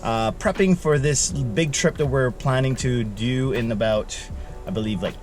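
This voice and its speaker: A man's voice: medium pitch, a little slow. Casual man vlogging over music. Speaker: vlog host. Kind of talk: casual vlog chat.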